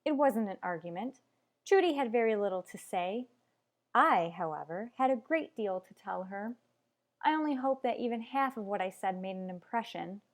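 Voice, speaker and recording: A woman's voice: mid-range voice, lively intonation, moderate pace, lightly acted. A woman playing an anxious, clinging mother in an old-fashioned radio soap opera, speaking in an earnest, emotional way. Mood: defensive.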